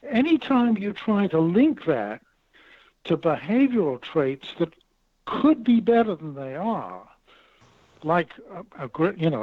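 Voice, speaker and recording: A man, a professor, making his case in a talk radio discussion with measured, emphatic, repeating argument. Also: high voice, dry recording, narrow, tinny audio. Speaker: professor guest.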